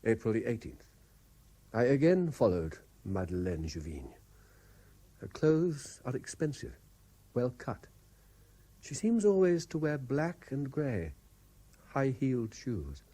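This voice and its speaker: A worried lawyer in old radio play, measured and careful, husky voice, lots of up and down. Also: male voice, medium pitch, sing-song, dark tone, full character voice. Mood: brooding. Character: earnest, troubled male lawyer narrating his surveillance case. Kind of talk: radio play acting with diary-like narration. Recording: 1970s radio drama recording.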